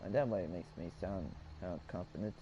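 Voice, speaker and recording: A man's voice: sits low, fairly quick, warm tone. A man casually commenting while playing a game and rambling to himself. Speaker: gamer. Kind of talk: casual let's-play commentary. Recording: clear game music behind voice.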